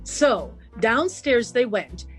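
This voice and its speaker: A woman's voice: fairly high pitch, animated, slow, soft background music, low-quality recording. A woman playing an old female storyteller, narrating a radio detective drama in a theatrical, arch way. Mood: arch, suspenseful.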